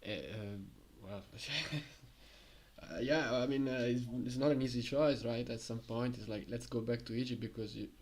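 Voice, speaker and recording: A man's voice: mid-range voice, steady tone, measured pace, a little mellow. A man from Italy whose first language is Italian, hosting an interview, hesitant and chuckling as he looks for words. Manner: hesitant, many fillers and restarts, chuckles. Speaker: interview host. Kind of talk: casual interview conversation.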